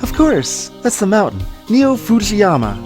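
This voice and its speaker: A woman's voice: mid-range voice, a little slow, music in the background. A woman voicing a bubbly, curious and cheeky teenage girl sidekick in a video game. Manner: eager, playful, excitable. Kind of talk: dubbed video game dialogue.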